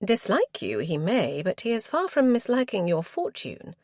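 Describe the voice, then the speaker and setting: A woman voicing a brisk, tart married lady of the Regency era who speaks with crisp formality. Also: medium pitch, huge pitch swings, a little mellow, no echo, studio quality, voice pushed up.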